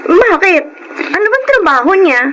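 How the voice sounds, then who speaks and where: A Filipino woman playing an excitable, curious younger sister in a radio drama, on a noisy recording. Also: very high voice, normal speed, heavily acted. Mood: curious, concerned.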